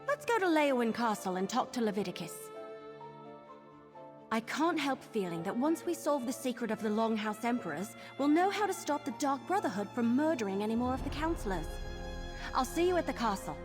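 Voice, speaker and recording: A woman's voice: on the high side, brisk, in a large hall, music in the background. A woman voicing an eager, chatty, perky female Wood Elf investigator in a video game. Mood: thoughtful, determined. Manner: perky, expressive.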